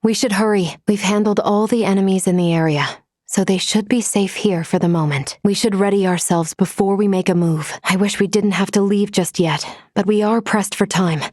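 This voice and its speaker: A woman voicing a courteous, analytical female android companion in a video game, speaking in a formal, measured, precisely worded way. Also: medium pitch, a little mellow, dry recording, studio quality, lightly acted. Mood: measured concern.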